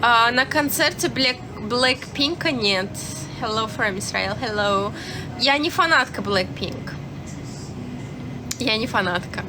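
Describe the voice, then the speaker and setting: A woman's voice: a little high, slow pace, background noise. A young woman from Turkmenistan with a Turkmen accent, her first language being Turkmen. She chats frankly on a live stream and answers viewers' comments, with plenty of fillers and restarts. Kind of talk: casual live-stream chat.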